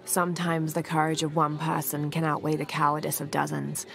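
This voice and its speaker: A woman playing a composed, quietly determined young adventurer and researcher in a video game cutscene. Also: low voice, monotone, fairly quick, gravelly. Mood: gentle.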